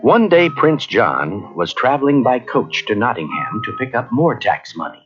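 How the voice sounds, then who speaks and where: Guy reading a kids storybook tape, really lively pitch, bit muffled with music behind. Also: moderate pace. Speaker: storybook narrator. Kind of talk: scripted children's read-along narration. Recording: dull cassette, faint music behind.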